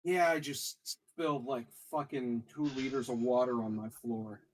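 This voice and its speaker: Casual sweary man, medium pitch. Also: fairly even intonation, a little slow, no echo, poor audio. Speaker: tabletop game player.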